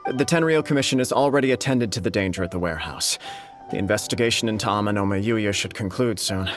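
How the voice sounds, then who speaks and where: An actor voicing a polite official of the shogunate in a video game, with a formal, diplomatic manner. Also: male voice, lowish voice, fast, natural voice. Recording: game audio over loud music.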